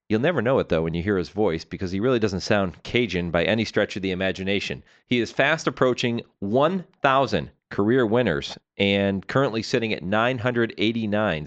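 A man hosts a sports radio interview in a polished announcer's style. His voice sits on the low side. The sound is slightly dulled, as on a broadcast feed. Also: muffled recording. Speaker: radio show host.

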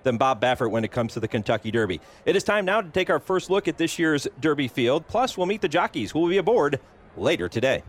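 A man commentating from a booth above a racetrack, brisk and upbeat as he sets the scene. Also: fairly high pitch, very animated. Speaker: horse racing broadcaster. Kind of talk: live sports broadcast commentary. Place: broadcast booth overlooking racetrack.

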